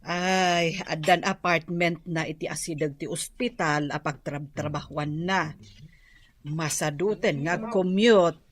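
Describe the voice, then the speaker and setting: A woman's voice: deep voice, slow. A woman acting a chatty middle-aged Filipino mother and eatery owner with an Ilocano accent in a radio drama. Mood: chatty, proud.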